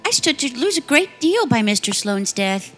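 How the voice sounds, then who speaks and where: High-voiced, anxious secretary played by a woman. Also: sing-song, normal speed, a bit bright. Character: anxious, prim female secretary under suspicion. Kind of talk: comedic parody of classic radio play.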